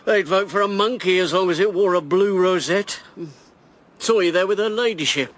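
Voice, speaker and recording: An actor playing a brash, cynical war hero turned election candidate in a radio drama, British, with a blunt, punchy delivery. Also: male voice, high-pitched, normal speed, natural voice.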